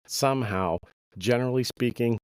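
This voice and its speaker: A middle-aged man, a bass player with a slight cold, giving casual, rambling advice to musicians with plenty of fillers. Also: moderate pace, clear, resonant tone, no room sound, clean studio sound. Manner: conversational, many fillers, anecdotal. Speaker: podcast host, bass player.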